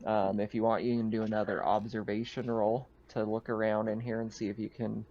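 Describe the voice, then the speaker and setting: A man's voice: lowish voice, little pitch movement, a little fast, darkish tone, lo-fi sound. A man improvising casual, informal narration as game master of a tabletop roleplaying game, with some swearing.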